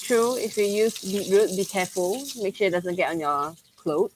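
A woman's voice: medium pitch, normal speed, darkish tone, a little hiss or hum, poor audio. Upbeat giggly woman behind the camera. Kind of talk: casual chat, relaying viewer questions. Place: home kitchen, indoors. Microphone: camera mic, behind the camera.

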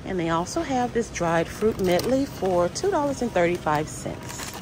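A woman vlogger filming a grocery store walk-through, reading out each item and its price in a slow, deliberate way. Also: fairly low pitch, expressive, noisy background. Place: inside a large supermarket.

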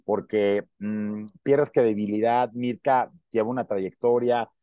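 A man's voice: on the low side, steady tone, measured pace, warm tone, no echo, highs cut off. A man in his thirties with a Mexican accent, hosting a casual celebrity gossip show and giving opinions, often repeating words as he searches for the next one. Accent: Mexican Spanish. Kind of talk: casual gossip commentary to camera. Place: indoor room with plants behind. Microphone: earphone mic, webcam framing.

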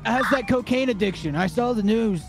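A man with a high voice improvises a criminal in an online game. He taunts crudely over clear background music. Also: moderate pace. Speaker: role-player acting as hostage taker. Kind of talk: improvised role-play over game voice chat.

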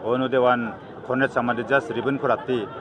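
A man's voice: medium pitch, steady tone, fast. Northeast Indian man amid crowd noise. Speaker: political candidate. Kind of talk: press interview, mixing in English. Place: outdoors at an evening gathering. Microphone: handheld news microphones held close. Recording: busy outdoor crowd behind voice.

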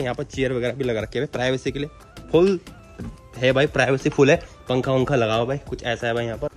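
A man in his thirties giving a chatty walking tour for his vlog, speaking into a handheld selfie camera. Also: medium pitch. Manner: chatty, says "guys" and "bhai" constantly. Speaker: vlogger. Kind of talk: casual travel vlog tour. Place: outdoors on a scooter and walking through a cafe garden.

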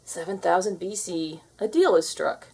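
A woman reading a children's storybook aloud in an expressive style. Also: lowish voice, normal speed, slightly rough. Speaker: storybook reader.

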